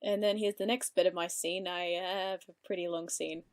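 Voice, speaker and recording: A woman's voice: fairly low pitch, fairly even intonation, normal speed, faint music behind. Casual woman giving reaction commentary. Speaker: artist commenting on an animated fan video.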